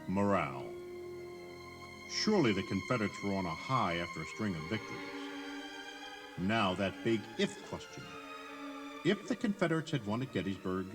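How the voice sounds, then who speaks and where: An American man narrating a history tour in a conversational, emphatic way, putting rhetorical questions straight to the listener. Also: on the low side. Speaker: audio tour guide narrator. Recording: 1983 cassette tour tape.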